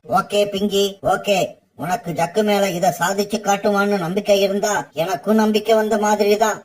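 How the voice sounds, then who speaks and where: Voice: mid-range voice, little pitch movement, moderate pace, darkish tone, lightly acted. An actor voicing an adult bird parent in a children's cartoon, earnest and stern. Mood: sceptical.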